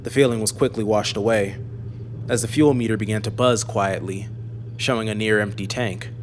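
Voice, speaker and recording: A man narrating a scripted fantasy audiobook in a measured, serious way. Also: on the low side, steady tone, a little hiss or hum.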